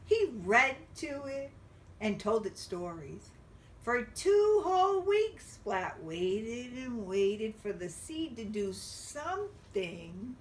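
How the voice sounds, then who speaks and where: A woman reading a picture book aloud to young children, expressively and with drawn-out emphasis. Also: fairly high pitch, very animated, distant mic, slight background noise. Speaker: children's storybook reader.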